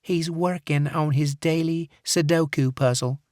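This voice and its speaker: A woman's voice: low-pitched, fairly even intonation, unhurried, darkish tone, no echo, studio quality, pitched above the performer's own voice. A man voicing a saccharine Southern receptionist woman in her fifties in an audiobook. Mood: sugary. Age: 50s. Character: sweet chatty middle-aged Southern receptionist woman.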